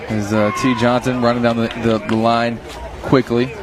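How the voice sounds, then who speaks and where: A man doing play-by-play baseball radio commentary, excited on big plays and chatty between pitches, his voice a little strained. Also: moderate pace. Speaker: play-by-play announcer. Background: crowd.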